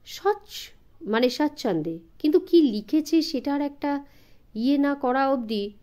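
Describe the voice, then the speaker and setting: A woman voicing a timid, fussy gentleman in an audiobook, stammering and hesitant, dropping in bits of English. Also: high voice, animated, unhurried. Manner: hesitant, stammering, filler-laden, peppered with English.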